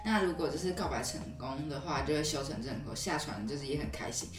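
A woman's voice: low-pitched, fast talker, over music. A woman co-hosting a podcast, explaining things in a conversational way with repeated connecting words and agreements. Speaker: podcast co-host. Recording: roomy echo, slightly distant mic.

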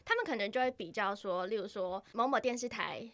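A woman's voice: mid-range voice, brisk, a bit bright, no echo. A woman with a Taiwanese Mandarin accent, a journalist guest explaining things in casual podcast chat.

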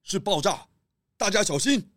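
A man's voice: high voice, lively intonation, moderate pace, heavy background noise. A man playing a friendly, hearty pig detective in a children's audio mystery. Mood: alert. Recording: music under the voice.

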